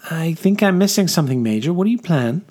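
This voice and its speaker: A man's voice: a little high, animated, quick pace, a little mellow, voice pushed up. A man voicing a formal, concerned noblewoman in an audiobook. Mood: puzzled. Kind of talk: audiobook character dialogue.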